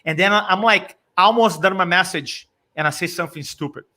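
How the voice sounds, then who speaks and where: A man in his fifties from Brazil with a Brazilian Portuguese accent, a communication coach telling stories in a lively way and acting out his own reactions. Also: sits high, brisk. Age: 50s. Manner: animated storyteller, acts out his own reactions. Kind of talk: casual interview chat.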